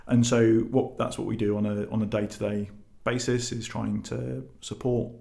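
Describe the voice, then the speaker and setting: A man with a lowish, very creaky voice speaks as a guest in an interview. He is from Lebanon and the UK, and his conversational answers stay in a narrow pitch range. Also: little pitch movement, moderate pace, dark tone. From born in Lebanon, grew up in the UK. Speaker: charity chief executive, interview guest.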